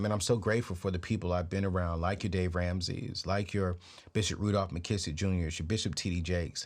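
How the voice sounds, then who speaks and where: A man in his late thirties hosting a personal finance show, giving a motivational talk with a preachy, energetic delivery. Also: deep voice. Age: 30s. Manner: preachy, energetic, repeats phrases. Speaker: podcast host.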